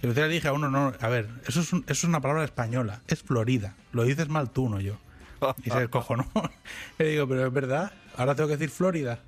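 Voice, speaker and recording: A man's voice: medium pitch, fast talker, soft background music. Chatty man, rambling storyteller. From Spain. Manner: rambling, animated storytelling with restarts. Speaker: podcast co-host. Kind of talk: casual chat.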